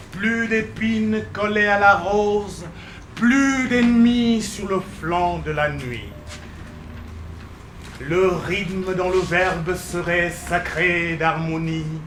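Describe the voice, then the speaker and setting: A man's voice: high voice, fairly bright tone, slight background noise. A man giving a theatrical poetry recital in a solemn, dramatic, declamatory manner. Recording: roomy echo, faint music behind.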